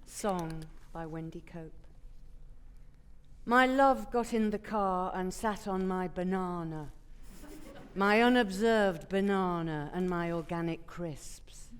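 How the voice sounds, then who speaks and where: A woman's voice: on the low side, takes it slowly, clear, resonant tone. A woman actor reciting a comic poem on a theatre stage. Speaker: actor reading poetry. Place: theatre stage before an audience.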